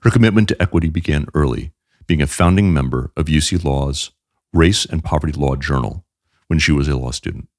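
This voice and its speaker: A man hosting an interview podcast, reading the guest's introduction and asking measured questions. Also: extremely low pitch, no echo, clean studio sound. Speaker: podcast host.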